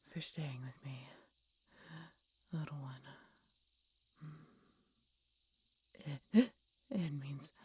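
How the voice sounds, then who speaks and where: A woman playing an ancient, injured female dragon in an audio roleplay, speaking tenderly and haltingly in a breathy whisper, close to the listener. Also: low-pitched, mellow. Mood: weak, loving farewell. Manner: slow, halting, tender delivery with long pauses. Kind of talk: audio roleplay acting, addressed intimately to the listener. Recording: clear background noise, night insects. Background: night ambience with crickets.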